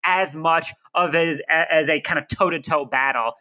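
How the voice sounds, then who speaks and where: A man's voice: fairly high pitch, fairly even intonation, normal speed, pushed and bright. A man with a nasal voice, a podcast guest talking confidently about entertainment news. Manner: confident, explanatory. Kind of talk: casual podcast chat.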